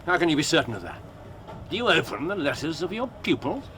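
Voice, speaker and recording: Shrewd ironic detective, period drama. Highish, animated, probing delivery over background noise. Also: male voice, fast.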